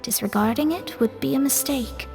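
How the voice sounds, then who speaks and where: A woman's voice: sits high, moderate pace, creaky, big-room sound, over music. A woman voicing a proud, studious young astrologer in a video game, explaining things in a precise, self-important manner. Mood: serious, lecturing. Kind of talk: animated game dialogue acting.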